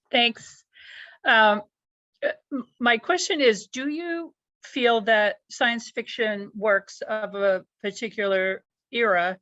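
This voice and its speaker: Slow-paced woman on dull call audio. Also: medium pitch, fairly bright tone, dry recording. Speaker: audience member asking a question. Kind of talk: considered spoken question. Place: online video call.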